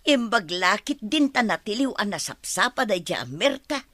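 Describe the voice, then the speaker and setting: A woman's voice: medium pitch, expressive, moderate pace, a bit bright, no room sound, lightly acted. A woman with an Ilocano accent playing a plain-spoken, struggling village wife in a radio drama. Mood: chastened.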